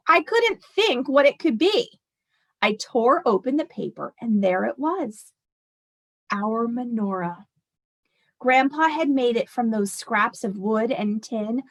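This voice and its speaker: A woman voicing an elderly grandmother in a storybook, tender and nostalgic, reminiscing with gentle emphasis. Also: on the high side, expressive, takes it slowly, exaggerated voice. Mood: happy remembering. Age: older.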